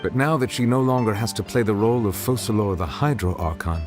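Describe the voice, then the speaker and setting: A low, smooth male voice sits over clear background music. It is a stately dragon judge from a video game, acted by a man, reserved and eloquent. Each phrase is measured, formal and solemn. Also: lively intonation, natural voice. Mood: gently reassuring. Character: solemn, dignified water dragon serving as chief judge. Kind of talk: video game character dialogue.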